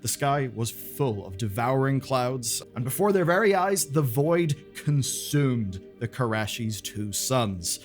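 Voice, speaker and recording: Animated male storyteller over music. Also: mid-range voice, slow, few pauses. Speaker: presenter of a video game lore channel. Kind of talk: dramatic scripted storytelling narration.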